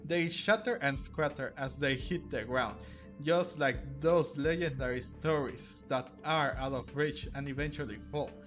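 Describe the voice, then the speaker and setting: A man streaming a video game, reading its text aloud with a halting delivery and reacting as he goes. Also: medium pitch, a bit bright. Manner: halting, stumbling read-aloud. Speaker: gaming streamer. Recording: game music behind the voice. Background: video game music.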